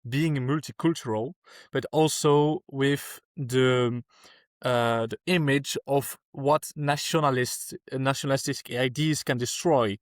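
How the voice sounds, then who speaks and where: Man, from France, earnest, restarts sentences, mid pitch, dry close mic. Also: steady tone, a little slow, dry recording. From France (Alsace). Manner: earnest, searching for words, frequent restarts. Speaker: guest activist. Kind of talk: podcast interview answers.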